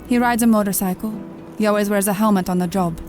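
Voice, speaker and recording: A woman's voice: medium pitch, fairly even intonation, fast talker, over music, natural voice. A woman acting a self-assured, teasing crime boss in an audio drama, with a sardonic delivery. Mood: businesslike. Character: cool, teasing female crime boss who hires killers.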